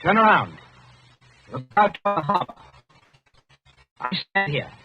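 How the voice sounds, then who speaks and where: Crafty Englishman, cool and controlling, raised pitch, deliberate phrasing, muffled old radio play. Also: big pitch swings, music in the background. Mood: smooth, sly. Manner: deliberate, simple coaxing phrases. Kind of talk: 1940s radio acting. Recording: dull old radio broadcast recording.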